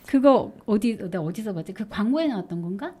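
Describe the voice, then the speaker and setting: A woman's voice: medium pitch, expressive, fairly quick, a crowd in the background. A woman hosting a casual local radio talk show, chatty and teasing, often laughing with her guest.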